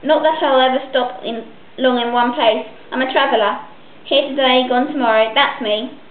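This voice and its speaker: A woman's voice: high voice, fairly even intonation, normal speed, lightly acted. A woman reading the part of a breezy, footloose traveller dog in a storybook. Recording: echoey, tinny narrow-band home recording.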